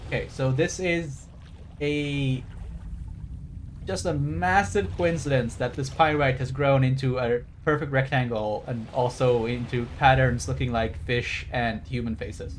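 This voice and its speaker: A man's voice: medium pitch, steady tone, measured pace. Rambling wry male streamer, faint background noise. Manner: digressive, wry, explaining trivia. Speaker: game streamer. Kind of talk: casual rambling livestream commentary.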